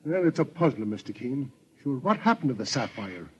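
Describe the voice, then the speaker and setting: Through a muffled vintage radio recording with faint noise comes a man acting as a detective's eager sidekick. His pitch swings animatedly as he talks in a folksy, plain-spoken way. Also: big pitch swings, a little fast, natural voice. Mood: intrigued. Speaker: radio actor. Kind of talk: old-time radio detective drama acting.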